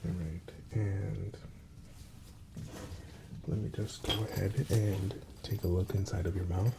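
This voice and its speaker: A soft-spoken man, at times whispering, gently playing a reassuring doctor in an unhurried ASMR medical exam roleplay. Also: low-pitched, level tone, gravelly, very noisy.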